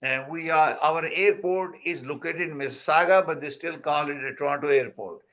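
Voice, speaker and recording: A man hosting an online real estate conference over a video call, talking in a halting way with repeated words. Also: fairly high pitch, fairly bright tone, highs cut off. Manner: halting, repeats words, non-native phrasing. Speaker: host and founder of the conference. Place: Mississauga near Toronto.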